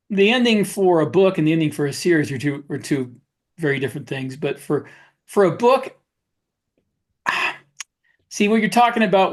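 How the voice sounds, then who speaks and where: A man with a somewhat high-pitched voice co-hosts a podcast. He talks casually and haltingly, offering firm opinions as he goes. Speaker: podcast co-host, author. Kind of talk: casual discussion of writing.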